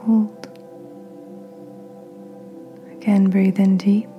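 A woman podcast host leading a guided meditation in a gentle, soothing, breathy near-whisper. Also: medium pitch, flat delivery, extremely slow, lo-fi sound. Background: soft music.

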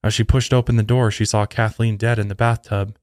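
A man's voice: lowish voice, level tone, a little fast, darkish tone, no room sound, studio quality. A man hosting a podcast, reading a scripted true crime narration. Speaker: podcast host.

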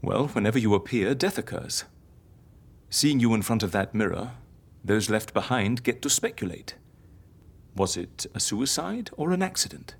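A confident, theatrical death faker in an audio drama. Her voice is low and husky, swinging widely as she explains like an eager teacher. She is philosophical, encouraging and sure of herself. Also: exaggerated voice. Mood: measured, scheming. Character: theatrical, confident woman who fakes deaths for clients.

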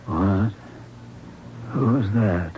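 An actor playing a frail, confused old doctor after a stroke, speaking in halting, repeated phrases in a radio drama. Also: male voice, sits low, expressive, exaggerated voice. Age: older. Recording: dull, hissy old radio broadcast.

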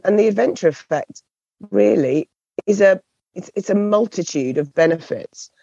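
A woman with a croaky, hoarse voice, answering interview questions thoughtfully and often repeating words as she searches for phrasing. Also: medium pitch, expressive, moderate pace, warm tone, no room sound, dull audio. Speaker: podcast guest.

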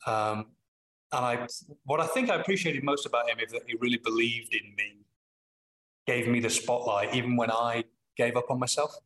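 Middle-aged Manchester man, a guest reflecting on leadership: bright, forceful tone, thoughtful pauses and self-corrections. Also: medium pitch, low-quality recording. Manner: thoughtful, with frequent pauses and restarts. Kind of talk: reflective interview answers.

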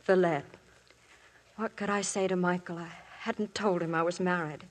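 An actress playing a young married woman who recounts her story to the police, earnest and quietly desperate, in 1950s radio drama style. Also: lowish voice, steady tone, moderate pace, natural voice. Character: married woman, young adult, anxious and confessing. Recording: old radio broadcast, faint hiss.

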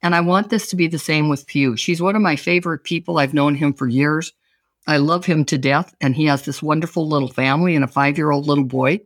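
A woman's voice: deep voice, fairly even intonation, a little fast, no echo, studio quality. Enthusiastic woman hosting an interview. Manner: enthusiastic, effusive. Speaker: host. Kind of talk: interview show.

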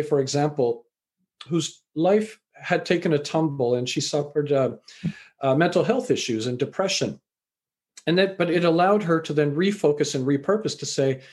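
A middle-aged man from Canada with a fairly high voice, telling his story in a reflective, conversational way. He often pauses on fillers as he gathers his thoughts, as an author would in an interview. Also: a little mellow. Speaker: author and university lecturer.

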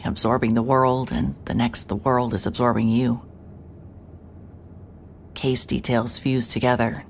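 An actress playing a hard-hearted private investigator, narrating a noir audio drama in a terse, deadpan, brooding manner. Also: female voice, very deep voice, slight background noise. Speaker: narrator and lead character. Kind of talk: first-person noir scripted narration.

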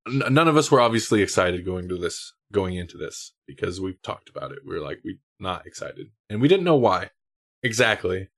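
A man's voice: deep voice, big pitch swings, fairly quick, a bit bright. A man hosting a casual film discussion on a podcast. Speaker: podcast host.

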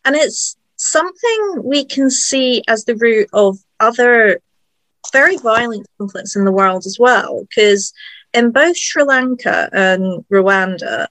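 A woman's voice: fairly high pitch, animated, takes it slowly, a bit bright, dry recording, low-quality recording. A woman asking thoughtful questions in a casual interview conversation.

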